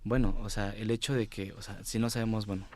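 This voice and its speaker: Low-voiced Mexico City man, hesitant guest. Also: flat delivery, quick pace, darkish tone, slight background noise. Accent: Mexico City Spanish. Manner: hesitant, repeats words, tags phrases with "¿no?". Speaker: interview guest, music writer and editor. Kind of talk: casual radio-style interview.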